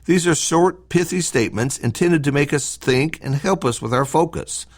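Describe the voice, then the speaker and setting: A man reads a scripted devotional talk as a church podcast host, in a measured, instructive way with short emphatic phrases. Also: on the high side.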